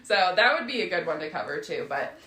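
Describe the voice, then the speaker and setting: A woman's voice: on the low side, very animated, a little fast, sharp tone. A young woman hosting a podcast, telling history in a casual, slangy, irreverent way. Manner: irreverent, giggly, sweary. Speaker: podcast host. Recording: roomy, echoey sound.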